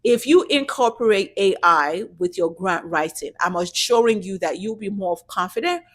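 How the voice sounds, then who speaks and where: A woman hosting her own channel talks straight to the camera in a casual tutorial. Her voice sits at an average pitch, and her tone is direct and coaching as she urges viewers on. English is her second language. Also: expressive, normal speed, fairly bright tone. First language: not English. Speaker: YouTube channel host giving business grant tips.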